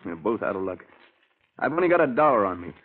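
Tinny-sounding hesitant radio locksmith. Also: male voice, on the low side, big pitch swings, fast talker. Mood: frustrated, blunt. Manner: earnest, hesitant, plain-spoken. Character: hard-up, hesitant honest locksmith drawn into burglary. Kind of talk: 1940s radio acting. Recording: tinny, band-limited 1940s radio recording.

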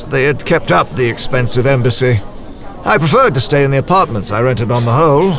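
A man acts as a worldly retired spy recounting his past in an audio drama. His mid-pitched voice moves expressively up and down, conversational and wry, as if sharing confidences. Light music plays behind him. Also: a bit bright. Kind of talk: first-person audio drama narration.

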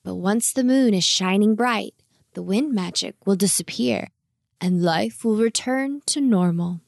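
A kindly old storybook goat, acted out by a woman, wise and courteous. He speaks slowly and gently, with a creaky edge, encouraging in an old-fashioned way. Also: mid-range voice, no room sound, clean studio sound. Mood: gentle, reassuring. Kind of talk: children's storybook character voice.

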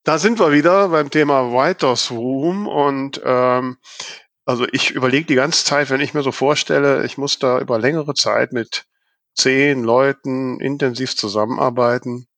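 A man's voice: medium pitch, moderate pace, a bit bright, dry recording. A man hosting a podcast in a casual, chatty style. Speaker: podcast host.

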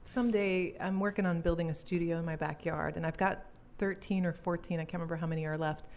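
A woman's voice: lowish voice, steady tone, quick pace, darkish tone. A young woman from Belgium, a cellist, chatting casually in an interview and laughing easily. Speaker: cellist and musician guest.

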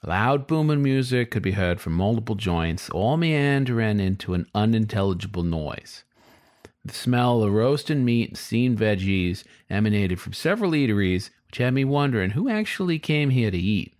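A man's voice: fairly low pitch, animated, moderate pace, a little mellow, no room sound, studio quality. A man reading a scripted first-person story as its narrator. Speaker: story reader.

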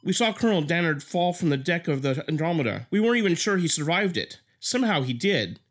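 A woman playing an anxious, brisk scientist aboard a time-travel ship in an audio drama, urgent and questioning. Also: lowish voice, lively intonation. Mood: reflective.

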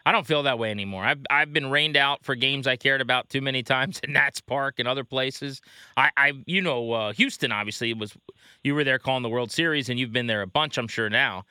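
A man's voice: mid-range voice, brisk, sharp tone, smooth, no room sound. A man hosting a sports show, interviewing in a casual, conversational style.

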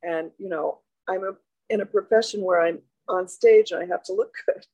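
A woman's voice: mid-range voice, a little mellow, no room sound, dull audio. Candid woman in her seventies. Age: 70s. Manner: candid, reflective, halting. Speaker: guest. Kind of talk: interview.

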